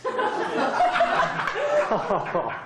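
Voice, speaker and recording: A woman from Mexico delivers a wry, self-mocking stage monologue in a fairly high voice. She is caught from far off by a room mic, with heavy echo and clear noise around her, like a live theater recording. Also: huge pitch swings, extremely slow. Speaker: solo stage performer. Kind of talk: one-woman comedy show monologue.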